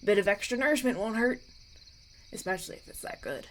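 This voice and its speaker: Gentle young prince, played by a woman, hesitant and grateful. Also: mid-range voice, fast, slight background noise, natural voice. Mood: weary, appreciative. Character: kind, grateful young runaway human prince. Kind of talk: roleplay audio acting.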